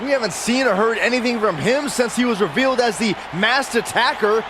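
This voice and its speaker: An excitable play-by-play man in a wrestling video game. His very high male voice projects urgently over very loud arena noise. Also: a little fast. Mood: emphatic. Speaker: commentator. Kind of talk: sports commentary.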